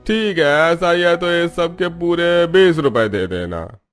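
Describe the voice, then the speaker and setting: A man's voice: on the high side, expressive, measured pace, soft background music. A man playing a frank vegetable cart seller in a cartoon story, plain-spoken and emphatic. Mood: matter-of-fact.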